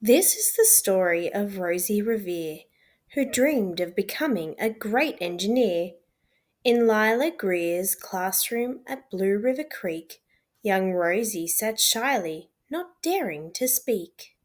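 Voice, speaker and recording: A woman's voice: mid-range voice, lively intonation, takes it slowly. A woman storyteller reading a rhyming children's picture book aloud as scripted narration.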